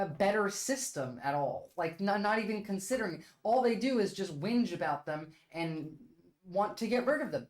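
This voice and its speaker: A Turkish-born woman, debating her chat on a casual livestream. She argues bluntly and emphatically, peppering her points with "like". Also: mid-range voice. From Turkey. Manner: blunt, argumentative and emphatic, with frequent "like" fillers.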